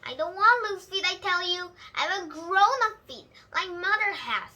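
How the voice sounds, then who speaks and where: A woman's voice: very high voice, animated, normal speed, sharp tone, pitched above the performer's own voice, full character voice. A woman voicing a huffy, boastful little girl who insists she is grown-up in a storybook, stressing words emphatically. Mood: indignant.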